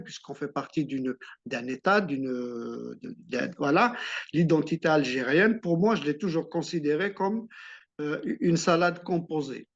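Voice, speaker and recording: A man from Algeria, an author answering interview questions in a reflective way, often restarting and repeating words. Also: fairly high pitch. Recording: muffled lo-fi sound.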